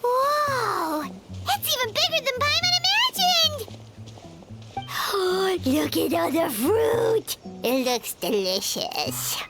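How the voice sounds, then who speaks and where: A woman voicing a small, chatty, excitable female fairy companion in a video game, speaking in a squeaky, childlike way and talking about herself by name. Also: slow, heavily acted. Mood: excited. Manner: blurts out reactions, refers to herself by name. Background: game music.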